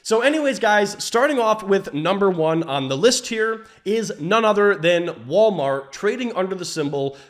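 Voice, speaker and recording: A man's voice: sits high, animated, normal speed, hardly any pauses. A man in his twenties casually explaining investing to his viewers, upbeat and chatty with frequent fillers and asides. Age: 20s. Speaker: finance YouTuber. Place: his home property. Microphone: camera setup, framed from a distance.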